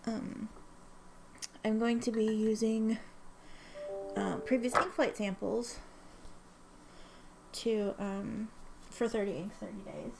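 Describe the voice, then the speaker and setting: A woman vlogging casually with a bit of vocal fry running through her words. She is sniffly from allergies and rambles, correcting herself mid-sentence and filling gaps with ums. Faint room noise sits behind her. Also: on the high side, steady tone, slow pace, pauses often, a little mellow, rough recording. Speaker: ink swatching vlogger. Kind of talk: casual chatty vlog.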